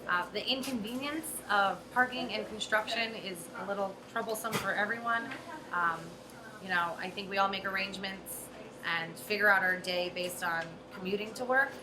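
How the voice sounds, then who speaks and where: Female interviewee, average pitch, conversational, noisy location sound. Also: normal speed. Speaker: interviewee on campus. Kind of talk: interview answers.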